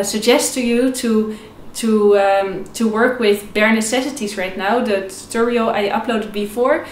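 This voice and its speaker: High-voiced young woman teaching in echoey room. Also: slight background noise. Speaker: violin teacher. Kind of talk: instructional video lesson, explaining informally. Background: violin playing between phrases.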